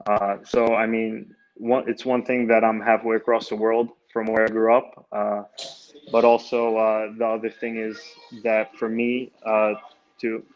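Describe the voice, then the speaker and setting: A young man in his twenties, Greek his first language, talks in a relaxed, hesitant way with lots of restarts. His pitch stays level and narrow, and the video-call audio sounds dull and lo-fi. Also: a little slow. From United States. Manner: easygoing, hesitant with many restarts. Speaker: guest, amateur runner and goalkeeper. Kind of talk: casual interview answers.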